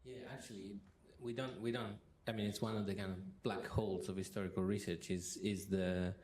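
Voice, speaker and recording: A man's voice: on the low side, level tone, brisk, darkish tone, a little hiss or hum. A man interviewing a guest before a university audience, talking hesitantly with many restarts. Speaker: interviewer, history professor. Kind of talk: moderating a public interview.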